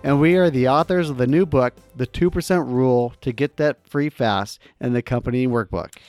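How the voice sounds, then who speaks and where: A man's voice: medium pitch, a little fast, darkish tone, no echo, studio quality, soft background music. A young adult man co-hosting a casual podcast, easygoing and steering the talk. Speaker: podcast co-host.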